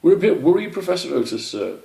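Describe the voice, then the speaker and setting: Man as young male storybook squirrel, raised pitch, anxious, earnest, polite, roomy sound. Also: sing-song, fast, creaky, warm tone, heavily acted. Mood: polite, worried. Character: earnest, worried young male squirrel looking for his friend.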